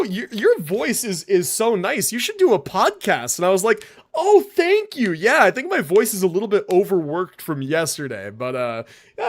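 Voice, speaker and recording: A young adult man streaming live, chatting casually and rambling as he tells a story, often tagging on "right?" and "like" and sometimes mushing his syllables. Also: high voice.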